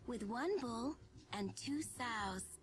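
A woman voicing a perky, cheeky little girl companion in a video game, with a playful, bright manner. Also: on the high side, animated, takes it slowly. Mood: playful, curious.

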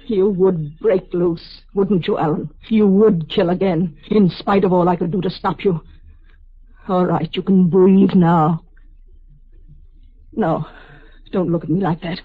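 A woman's voice: on the low side, steady tone, unhurried, long pauses, husky, dry recording. An actress playing an anguished, resolute telepathic woman confronting her lover in a vintage American radio drama. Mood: grim command. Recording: dull, band-limited old radio recording.

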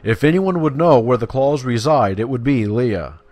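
A man with a medium-pitched voice talks his way through a video game. He is chatty and playful, slangy in his remarks and given to musing to himself, and he also reads quest text aloud. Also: lively intonation, moderate pace, a little mellow, no echo. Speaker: video game player and commentator. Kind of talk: casual gameplay commentary with quest text read aloud.

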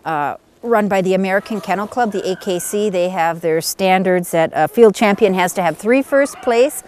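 A woman's voice: mid-range voice, moderate pace, darkish tone, a little hiss or hum. A middle-aged woman chatting plainly about her hunting dogs outdoors in snowy woods, with lots of uhs, recorded on a camera mic. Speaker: beagle owner. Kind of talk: casual outdoor interview.

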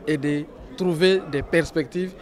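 A man's voice: fairly high pitch, steady tone, measured pace. A man of about forty, an event organiser, answering an on-camera interview. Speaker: convention organiser. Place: large hotel conference hall. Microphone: handheld reporter microphone. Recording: faint crowd chatter behind.

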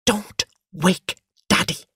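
Man doing a storybook mum, gentle but firm, slow patient hushed talk, dry sound. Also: mid-range voice, big pitch swings, no room sound. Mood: hushed, sharp. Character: gentle but firm mother, tired, placating wife.

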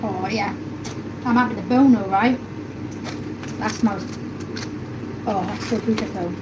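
A woman's voice: mid-range voice, measured pace, heavy background noise. A woman in her thirties hosting a casual live cooking stream from a small home kitchen, chatting and thinking aloud as she carves and serves. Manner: chatty, thinks aloud while carving and plating. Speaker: livestream host. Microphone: phone camera close up.

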